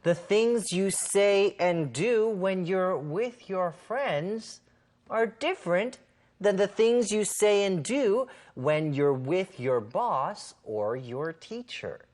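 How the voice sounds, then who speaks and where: A woman's voice: mid-range voice, expressive, slow, a little mellow. A woman co-hosting an English lesson, explaining simply from a script with careful, emphatic enunciation. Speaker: co-host of an English lesson show.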